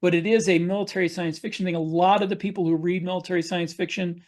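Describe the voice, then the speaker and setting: A man's voice: sits high, steady tone, fast talker, darkish tone. A man talking casually as an author on a podcast panel, explaining things and jumping in readily.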